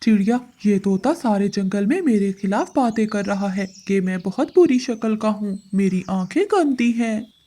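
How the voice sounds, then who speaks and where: A woman voicing an easily provoked male pigeon in an animated bird fable, wounded and complaining. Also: medium pitch, normal speed, natural voice.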